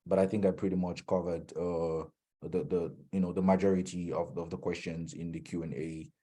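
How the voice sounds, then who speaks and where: A man's voice: low-pitched, level tone, moderate pace, warm tone. A man from Cameroon, moderating an online Q&A in a hesitant way full of fillers and restarts. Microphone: video call.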